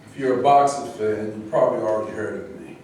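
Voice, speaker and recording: A man's voice: on the low side, quick pace, darkish tone, close to an everyday voice. A man playing a proud, scornful heavyweight boxing legend in a staged reading. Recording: echoey room, far mic, faint noise.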